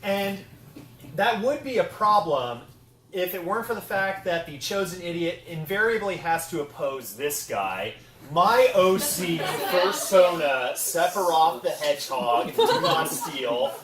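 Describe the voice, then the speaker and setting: Animated man presenting in an echoey room. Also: high voice, measured pace. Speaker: convention panel host. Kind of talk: comedic panel presentation. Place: convention panel room. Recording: echoey room, far from the mic.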